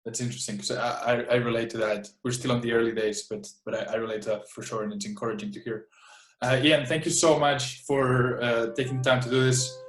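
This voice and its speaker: A man with a Spanish accent hosts a podcast and asks his questions politely, stumbling over and restarting his words. His voice sits at a middle pitch and carries a roomy echo. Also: steady tone, brisk. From Spain. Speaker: podcast host.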